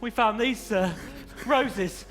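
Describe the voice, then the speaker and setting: A dutiful pantomime guard with a high voice. He reports deferentially to his superior, with music clearly behind him. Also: slow, fairly bright tone. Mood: nervous, dutiful. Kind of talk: pantomime stage acting.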